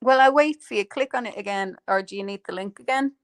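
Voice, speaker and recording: A woman hosts a relaxed live stream, chatting to her audience. She rambles easily, full of ums, restarts and quick laughs, at a middling pitch. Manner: chatty, lots of fillers and laughs. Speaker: livestream host. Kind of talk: casual chat on a live stream.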